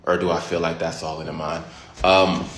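A man, chatting straight to his phone in an animated, profane, joking way. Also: normal speed, slight background noise. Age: late 20s. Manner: animated, profane, jokey rants with big pitch jumps. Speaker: comedian vlogger. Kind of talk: casual direct-to-camera chat. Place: small indoor room. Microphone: phone camera at arm's length. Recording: echoey room.

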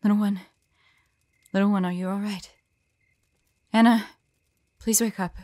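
A woman playing a weary, protective demon hunter in an audio drama, gentle yet resolute, with a measured, solemn delivery. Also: mid-range voice, steady tone, dry recording, studio quality, close to an everyday voice. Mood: desperate.

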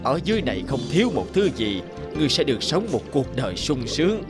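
A man's voice: medium pitch, big pitch swings, quick pace, over music, natural voice. A man voicing a benevolent old sea king in a cartoon, stately and magnanimous. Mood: gracious, stately. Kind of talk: cartoon dialogue.

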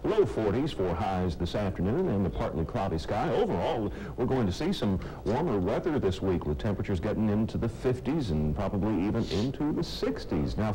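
A middle-aged male weatherman ad-libs through a TV forecast in a deep, mellow voice. His pitch moves around a lot, and faint music and control-room chatter can be heard with him. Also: slight background noise, low-quality recording. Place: TV studio in front of weather map.

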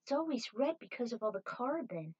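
A woman's voice: on the high side, big pitch swings, fairly quick, darkish tone, dull audio. A woman reading the part of an earnest, dreamy young female bounty hunter in a story. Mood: pensive, worried.

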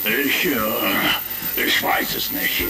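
An actor playing a frightened captured space pirate in a retro radio play, stammering and pleading. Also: male voice, fairly low pitch, huge pitch swings, extremely slow, vocal fry, exaggerated voice. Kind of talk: retro science fiction radio play acting. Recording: loud music, vintage crackle.

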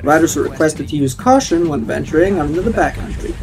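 Young man, upbeat mock DJ read on a headset, slightly high voice, faint music behind. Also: expressive, quick pace. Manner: upbeat announcer delivery, playful. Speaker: streamer. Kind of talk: mock radio DJ announcing. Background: faint game music.

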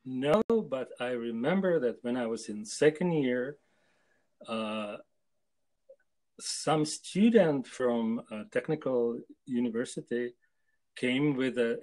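An older man from Czechoslovakia answering interview questions on a video call, hesitant and full of pauses. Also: medium pitch, darkish tone, rough recording. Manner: hesitant, with many pauses and fillers. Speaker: guest translator. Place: home room with photos on wall.

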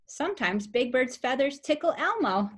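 A young woman reading a picture book aloud to toddlers as a preschool teacher, with simple, expressive phrasing and questions for the listeners. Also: sits high, normal speed, breathy edge. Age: young adult. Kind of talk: children's story read-aloud.

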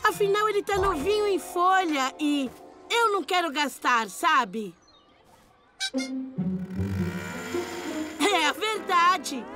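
Casting: chirpy little cartoon dirt bike, very high, animated swoops, unhurried, playful and boastful. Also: exaggerated voice. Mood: sheepish bluffing. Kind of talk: animated children's cartoon dub. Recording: music behind the voice.

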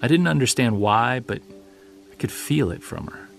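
Audio drama witness, played by a man: gravelly fry, middle pitch, thoughtful and conversational, recalling childhood over faint music. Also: big pitch swings, normal speed. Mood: uneasy, reflective. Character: adult male witness recounting an unsettling childhood camping memory. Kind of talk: naturalistic audio drama storytelling.

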